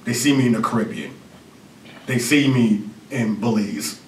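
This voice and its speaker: A man in his thirties with a Jamaican accent, a CEO giving an energetic conference presentation and joking with the audience. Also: medium pitch, normal speed, slight background noise. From Canada. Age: 30s. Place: university lecture hall. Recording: roomy hall recording from far off.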